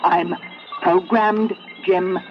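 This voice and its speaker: Sentient female computer, extremely slow deliberate delivery, menacing and coaxing, vintage radio drama, dull recording with music. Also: lowish voice, steady tone. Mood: taunting, coaxing. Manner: slow, drawn-out, deliberate phrasing. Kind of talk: 1970s radio drama acting.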